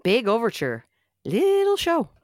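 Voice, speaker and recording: A woman's voice: fairly high pitch, huge pitch swings, measured pace, dry recording, clean studio sound, voice pushed up. A woman playing a wisecracking, jittery young male sidekick in a script table read. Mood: teasing.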